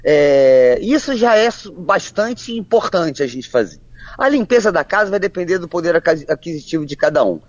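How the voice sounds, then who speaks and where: A woman, a physician answering interview questions in a measured, plain way with frequent restarts. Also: fairly low pitch, sing-song, no echo. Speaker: physician guest. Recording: dull, lo-fi, dry sound.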